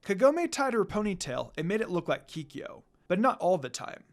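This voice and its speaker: Brief: female amateur storyteller for fan fiction narration, mid-pitched, very varied intonation. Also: very animated, moderate pace.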